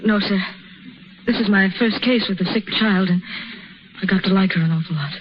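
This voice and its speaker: An actress playing a nervous, dutiful, soft-hearted young student nurse who answers deferentially in 1930s radio drama style. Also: female voice, mid-range voice, lightly acted. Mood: shy, nervous. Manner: quick, deferential replies. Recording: muffled, noisy 1930s radio broadcast.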